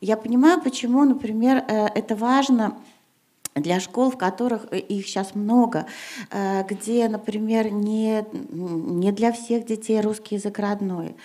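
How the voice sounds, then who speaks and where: Hesitant woman teacher with a mellow voice. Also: medium pitch, a little slow. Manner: hesitant, many fillers, rising to emphatic. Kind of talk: panel discussion. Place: gymnasium hall in front of an audience.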